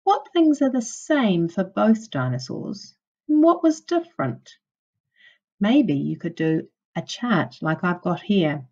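A woman primary teacher reading a non-fiction book aloud to young children, with clear, instructive phrasing. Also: mid-range voice, very animated, slow, warm tone, muffled recording.

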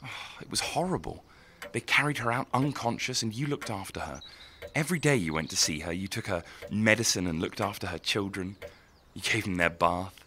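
An actor playing a troubled, needy young son in an audio drama, speaking in an earnest, pleading, sensitive way. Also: male voice, fairly low pitch, expressive, a little fast, sharp tone, slight background noise. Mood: wistful. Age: young adult.

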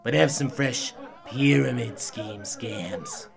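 A man voicing a sly alien street con artist, hawking his wares with a gravelly delivery in a comic radio play. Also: mid-range voice, takes it slowly. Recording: busy crowd behind.

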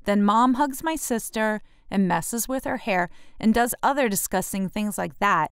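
Woman reading as a sulky little brother, smooth-voiced. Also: medium pitch, unhurried, no room sound, studio quality, close to an everyday voice. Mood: sulky, defensive. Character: sulky six-year-old boy, jealous defiant little brother.

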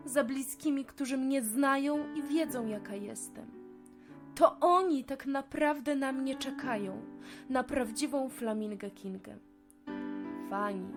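Woman acting a slow, high-voiced wistful flamingo. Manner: earnest, emotive, slow confiding delivery. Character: sad, wistful female flamingo star who fled the zoo. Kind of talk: children's audio fairy tale acting.

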